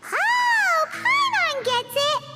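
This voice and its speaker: A woman voicing a small, excitable, childlike fairy companion in an anime game, with a squeaky voice. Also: very slow, full character voice. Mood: excited. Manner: excitable, speaks of self in third person. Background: loud playful game music.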